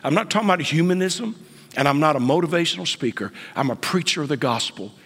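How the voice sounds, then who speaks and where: A man in his late fifties, a pastor preaching a sermon in a church, dropping to whispers and then rising to high, loud outbursts. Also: lively intonation, fast. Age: 50s. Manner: swings from hushed whispers to sudden high outbursts. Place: church sanctuary. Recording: long church reverb.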